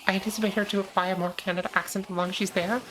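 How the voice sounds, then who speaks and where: An American woman chatting casually on a gaming livestream, answering chat with frequent pauses and ums. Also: medium pitch, level tone, a bit bright, slight background noise, rough recording. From United States. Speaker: streamer.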